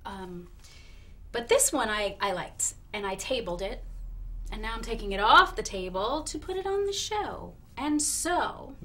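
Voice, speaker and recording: A woman hosting a comedy talk show in a deadpan, mock-serious, polite manner. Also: on the high side, a little slow.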